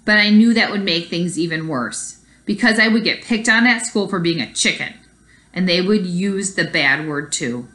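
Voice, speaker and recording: A woman's voice: lowish voice, moderate pace, fairly bright tone. A woman teacher reading a children's story aloud expressively and explaining it to young pupils. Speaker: elementary school teacher.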